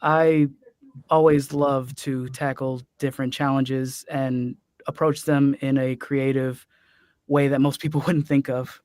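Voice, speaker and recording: Young male voice actor, soft-spoken, mid pitch, dry mic. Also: level tone, a little slow, no room sound.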